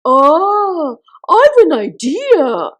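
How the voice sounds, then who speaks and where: A woman voicing a mean, thieving little man who shouts and wails in panic while reading a storybook aloud. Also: extremely high pitch, big pitch swings, very slow, full character voice. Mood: scheming. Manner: loud, frantic, wailing.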